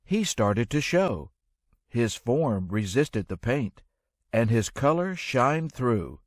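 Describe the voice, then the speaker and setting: A man narrating a rhyming children's story in an expressive, measured storytelling manner. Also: mid-range voice, no room sound, studio quality.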